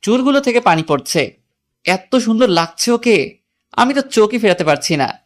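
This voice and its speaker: A woman's voice: medium pitch, big pitch swings, normal speed. A woman narrates a romantic audio story in the first person.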